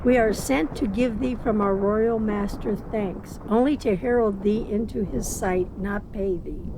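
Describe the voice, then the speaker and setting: A woman's voice: medium pitch, measured pace, background noise, lightly acted. A woman playing a grave, courtly royal envoy in a formal Shakespeare reading. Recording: faint background ambience.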